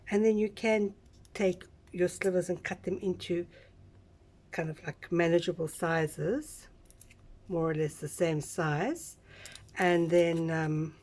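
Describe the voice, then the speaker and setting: Low, husky woman, a bit croaky. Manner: chatty and relaxed, with small asides. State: slightly croaky voice. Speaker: craft instructor. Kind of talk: casual step-by-step craft tutorial.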